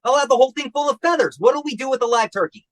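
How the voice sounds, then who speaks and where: An actor playing a grumpy, sleepy husband stuck in a hospital bed, complaining and hollering in a comic radio play. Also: male voice, extremely high pitch, fast talker, a bit bright, no room sound. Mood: grumbling.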